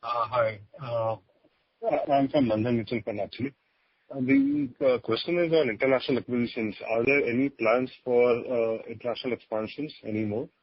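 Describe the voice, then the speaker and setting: A man speaking as a fund analyst, asking a question on a conference call in a husky voice. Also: medium pitch, lively intonation, a little fast, dry recording. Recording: dull, dry conference call audio.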